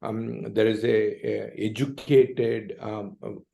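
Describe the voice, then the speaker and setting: A man with a South Indian accent answering as an interview guest, conversational, with halting phrasing and frequent check-in tags. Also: lowish voice, steady tone, creaky, dark tone. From Hyderabad, Deccan region of India. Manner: hesitant phrasing, frequently tags sentences with "right?". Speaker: entrepreneur and investor, interview guest.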